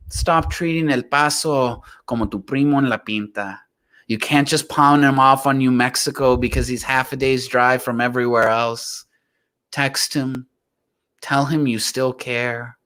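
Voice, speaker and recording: A man's voice: fairly high pitch, pushed and bright. A young man with a Texan drawl, performing spoken word poetry and chatting casually on a live video call, slipping into Spanish. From Texas. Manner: dramatic rhythmic delivery mixing Spanish. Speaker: poet and show host.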